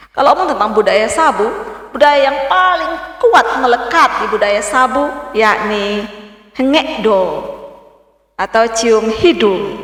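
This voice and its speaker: A woman with a Kupang accent preaches in a high, talky way, her voice dipping low and climbing back up. She sounds a little far from the mic, and the church hall around her rings with a long, roomy echo. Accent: Kupang Indonesian. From Rote, East Nusa Tenggara, Indonesia. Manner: conversational preaching with sudden drops and rises in pitch and loudness. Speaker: pastor. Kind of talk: sermon.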